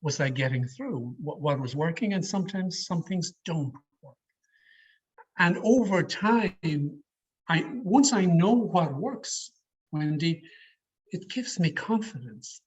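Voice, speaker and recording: A middle-aged man with an Irish accent answering questions as a public speaking coach, deliberate and emphatic, over an online call. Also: fairly high pitch, animated, breathy edge, poor audio. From Ireland. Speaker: speaker leading a public speaking education session. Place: online video meeting.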